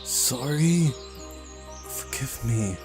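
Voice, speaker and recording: A man's voice: medium pitch, expressive, drawn-out words, music in the background, voice pushed up. A man voicing an earnest, flustered young man who gets exasperated easily, in a visual novel reading. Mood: apologetic, pleading.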